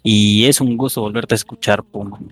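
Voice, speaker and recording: A man's voice: lowish voice, steady tone, moderate pace, dry recording. A man in his early twenties with a Mexican accent, co-hosting a podcast and ribbing his friends. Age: 20s. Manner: teasing, mock-formal. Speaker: podcast co-host. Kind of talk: casual chat among friends.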